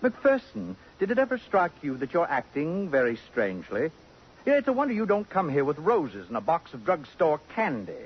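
An actor playing a haughty, sarcastic society gentleman with elaborate, pompous diction in a 1950s radio drama. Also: fairly high pitch, huge pitch swings, moderate pace, husky, exaggerated voice. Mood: mocking. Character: haughty, possessive, acid-tongued society gentleman, rival of a detective. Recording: muffled 1950s radio recording.

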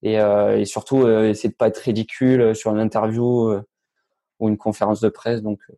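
A man's voice: on the low side, little pitch movement, fairly quick, mellow. A teenage man, a young tennis player answering interview questions casually, hesitant with many fillers and restarts. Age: teens.

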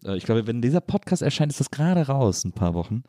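A man's voice: mid-range voice, big pitch swings, fast, warm tone, dry recording. Man chatting, close dry sound. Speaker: podcast host. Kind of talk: casual conversational interview.

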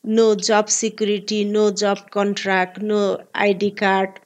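A woman in her forties from Bangladesh with a Bangladeshi accent, speaking earnest, halting English as an interview guest. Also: mid-range voice, completely flat delivery. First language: Bengali. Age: 40s. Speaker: guest, labor activist.

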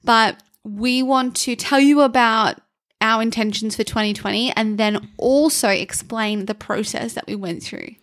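Earnest young woman, slightly high voice. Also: fairly even intonation, measured pace, fairly bright tone. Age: young adult. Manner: earnest, reflective, encouraging. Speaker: podcast co-host. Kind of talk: casual chat.

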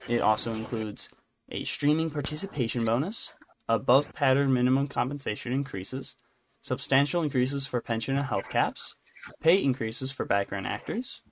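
A man's voice: medium pitch, slight background noise. A man co-hosting a podcast, chatting casually about entertainment news in a rambling, jokey, self-interrupting way. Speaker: podcast co-host.

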